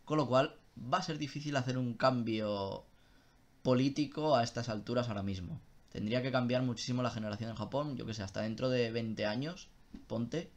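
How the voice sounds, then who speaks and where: A man's voice: medium pitch, normal speed. A young man chatting casually on a live stream, answering viewer questions in a loose style with swearing and self-interruptions.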